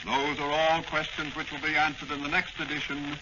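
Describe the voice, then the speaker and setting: Male 1940s American radio serial announcer, dramatic and declamatory, slightly high-pitched, dull sound with clear hiss. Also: little pitch movement, brisk. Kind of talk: 1940s radio scripted narration. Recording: muffled, noisy old radio recording.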